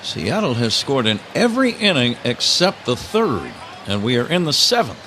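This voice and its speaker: A man's voice: mid-range voice, very animated, moderate pace, pushed and bright. An American man calling a baseball game live as a radio play-by-play announcer. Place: broadcast booth at a ballpark. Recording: noisy live broadcast with outdoor ballpark ambience. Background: clear outdoor stadium noise.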